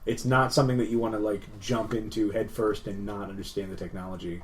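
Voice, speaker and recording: A man's voice: lowish voice, little pitch movement, fast, a loud crowd. A man in casual conversation as a co-host of a video game podcast. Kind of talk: casual panel discussion about video games. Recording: clear background noise.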